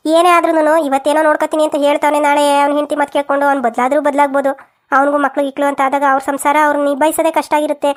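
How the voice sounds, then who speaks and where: A woman's voice: high-pitched, flat delivery, quick pace, natural voice. A woman voicing a frank, protective older village aunt in a cartoon family drama, emphatic and colloquial, with a rural southern Karnataka accent. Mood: earnest, urging.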